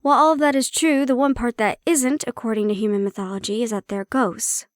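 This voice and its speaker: Guarded, high-voiced hybrid creature played by a woman. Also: fairly even intonation, a little fast, dry recording, studio quality, natural voice. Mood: candid, wry. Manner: conversational, explanatory, teasing, guarded. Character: prickly, guarded young banshee-siren hybrid woman. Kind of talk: intimate audio roleplay, speaking to the listener.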